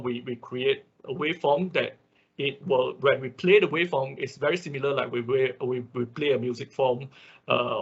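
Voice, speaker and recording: Husky male online instructor, dull audio. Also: mid-range voice, fairly bright tone. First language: not English. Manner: halting, repetitive explaining with frequent check words. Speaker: instructor of an online robotics class. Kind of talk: informal explanatory lecture. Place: online class call.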